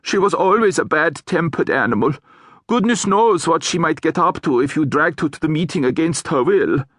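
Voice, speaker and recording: Man doing a grave, measured troll. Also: a little high, animated, a bit bright, dry recording, clean studio sound, pitched above the performer's own voice. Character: gloomy sensible male troll.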